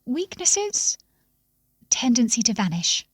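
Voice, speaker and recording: A woman's voice: fairly high pitch, very animated, takes it slowly, slightly rough, no echo, studio quality, full character voice. Woman voicing an aloof, mysterious storybook unicorn.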